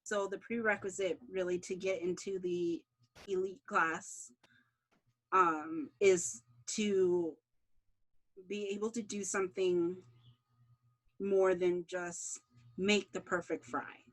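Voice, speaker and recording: A woman's voice: on the low side, fairly even intonation, slow, long pauses, poor audio. A woman series creator answering interview questions in a casual way.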